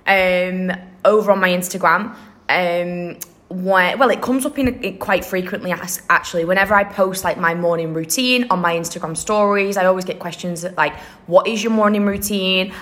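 A young woman hosting a casual solo podcast, chatty and upbeat, her voice slightly croaky. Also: mid-range voice, a bit bright. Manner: chatty, upbeat, many fillers and laughs. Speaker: podcast host. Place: room with air conditioner running behind.

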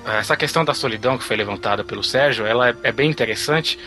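A man's voice: mid-range voice, quick pace, pushed and bright, soft background music. A man hosting a film podcast, reading the credits and steering the talk with questions. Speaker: podcast host. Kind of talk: casual podcast discussion.

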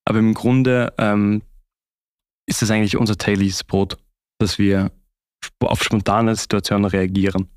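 Low-voiced young Austrian man. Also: level tone, moderate pace, long pauses, clear, resonant tone, dry recording, clean studio sound. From Austria (Vorarlberg). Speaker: interview guest, consultant. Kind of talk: reflective conversational interview.

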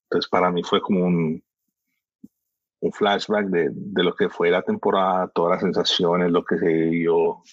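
A man's voice: low voice, little pitch movement, a little slow, no echo, dull audio. A man chatting casually in a laid-back, slangy way, often hesitating and restarting. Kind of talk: casual chat.

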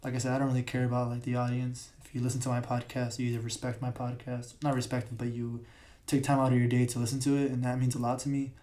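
Raspy young man rambling on his podcast. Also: mid-range voice, monotone, fast, hardly any pauses, warm tone. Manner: rambling, filler-heavy, self-correcting. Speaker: podcast host. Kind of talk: casual solo podcast talk. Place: large echoey room. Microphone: podcast mic.

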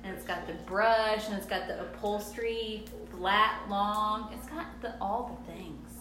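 A woman's voice: mid-range voice, measured pace, echoey room, noisy background. A woman in her thirties chatting casually in a home kitchen, rambling and laughing often. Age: 30s. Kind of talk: casual chat.

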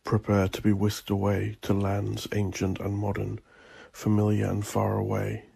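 A man from Northern Ireland narrating his own scripted story as an author, in a brooding, solemn, deliberate and theatrical manner. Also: on the low side, completely flat delivery, vocal fry, over music.

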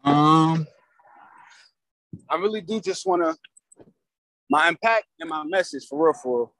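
A raspy young man telling his story in loose, slang-heavy casual talk as a rapper guest. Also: on the high side, sing-song, a little slow, a bit bright, no room sound, low-quality recording.

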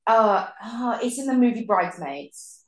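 A woman's voice: on the high side, expressive, unhurried. A woman with an English accent chatting casually as a podcast co-host, playful and self-deprecating. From Thatcham, Berkshire, England. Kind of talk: casual chat, fan Q&A. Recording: echoey room.

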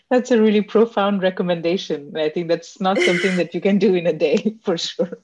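A woman's voice: fairly low pitch, normal speed, background noise. A woman hosting a podcast, chatting casually with many fillers and restarts and laughing easily. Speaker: podcast host. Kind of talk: casual interview chat. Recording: dull lo-fi audio.

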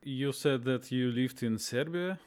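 Halting podcast host with a clear voice. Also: male voice, medium pitch, darkish tone. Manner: halting, frequent "uh" pauses. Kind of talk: interview conversation.

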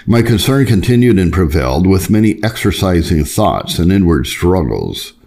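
Very deep-voiced man reading solemnly. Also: a little mellow. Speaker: audiobook reader. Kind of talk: scripted reading of a Puritan memoir.

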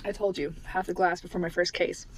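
A woman's voice: on the low side, little pitch movement, a little fast, slight background noise, poor audio. A woman in her twenties co-hosting a casual chat podcast, teasing and laughing often. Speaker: podcast co-host.

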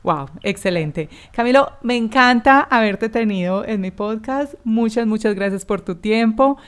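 A woman hosting a podcast, friendly and quick to agree and to sum up what her guest says. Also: fairly high pitch, clear, resonant tone. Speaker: podcast host. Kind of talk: casual podcast interview.

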